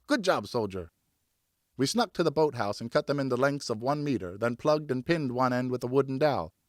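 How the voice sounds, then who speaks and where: A man narrating an audiobook as a dramatic, theatrical storyteller with expressive scripted delivery. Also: medium pitch, fast talker, no room sound, clean studio sound. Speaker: audiobook narrator, professional voice actor.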